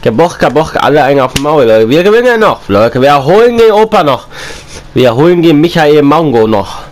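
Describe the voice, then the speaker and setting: A man's voice: a little high, fairly quick. Chatty young man with a slight cold. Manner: chatty, repetitive, rhyming and chanting lines; excited outbursts while playing. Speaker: gaming YouTuber. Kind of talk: casual gameplay commentary.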